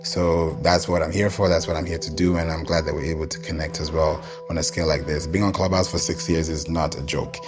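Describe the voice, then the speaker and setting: Low-voiced man who grew up in Kenya, hosting a casual live audio room chat, faint music underneath. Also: flat delivery, fairly quick, few pauses. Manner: welcoming, thanks listeners often. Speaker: host.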